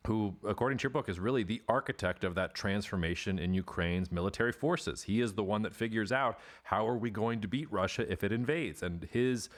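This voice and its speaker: A man with a very deep voice hosts a podcast and asks long, earnest questions. He hedges often, padding his phrasing with "sort of" and "like" as he sets up each question. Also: brisk, hardly any pauses. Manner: earnest, hedging, many "sort of" qualifiers. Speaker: podcast host. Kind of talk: interview questions.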